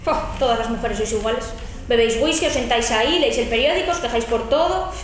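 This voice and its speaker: A woman's voice: a little high, fast talker, lightly acted. A teenage girl from Spain, playing a bored, grumbling husband in a school stage play. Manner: grumbling, dismissive. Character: bored, huffy middle-class English husband. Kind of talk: amateur school stage acting. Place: large school hall stage. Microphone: far camera. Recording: echoey hall from far off.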